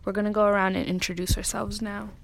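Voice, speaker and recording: A woman hosting a laid-back group podcast, her voice sitting at a middle pitch. She talks in a chatty, animated way, stringing thoughts together with lots of "like" and quick little stories. She grew up in Connecticut. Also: gravelly, a little hiss or hum. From Connecticut, USA. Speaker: podcast host. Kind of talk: casual group chat.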